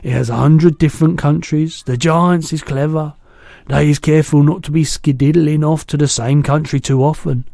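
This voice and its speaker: A man's voice: fairly even intonation, moderate pace, vocal fry, mellow, no room sound, clean studio sound. Man doing a big kindly giant with jumbled talk. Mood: earnest. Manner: jumbled made-up words, booming and eager. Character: big kindly male giant, muddled grammar. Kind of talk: reading a storybook aloud.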